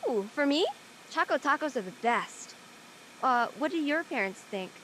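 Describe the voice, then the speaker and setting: A woman acts out a practical teenage girl in a conversational audio drama. Her voice sits fairly high and swings freely in pitch, with a casual, matter-of-fact delivery over clear background noise. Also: lively intonation, normal speed, bright, forceful tone, low-quality recording. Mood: friendly, casual. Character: Jackie, practical seventeen-year-old high school girl. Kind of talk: conversational acting in an online audio play.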